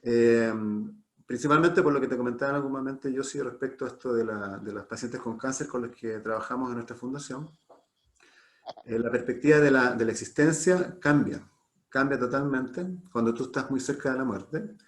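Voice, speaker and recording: A man's voice: medium pitch, moderate pace. A Chilean man casually hosting a live online talk, chatty and given to repeating short phrases. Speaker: host of an online talk series.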